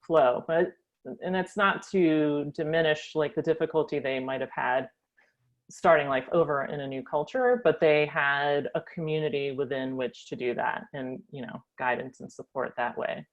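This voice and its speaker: Low-voiced woman telling her life story. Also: moderate pace, lo-fi sound. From United States. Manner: thoughtful, frequent ums. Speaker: guest. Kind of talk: reflective personal storytelling.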